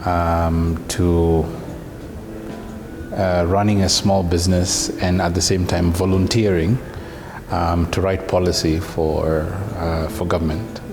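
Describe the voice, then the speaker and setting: A man, telling his story in a halting, reflective way. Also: low-pitched, little pitch movement, noisy background. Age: 30s. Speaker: interviewee, social entrepreneur. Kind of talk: personal profile interview.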